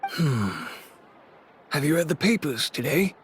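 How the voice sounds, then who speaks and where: An actor playing a wry, world-weary merchant in a video game, creaky and theatrical. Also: male voice, fairly high pitch, lively intonation, measured pace, a little hiss or hum. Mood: measured. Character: wry, world-weary male fabric supplier.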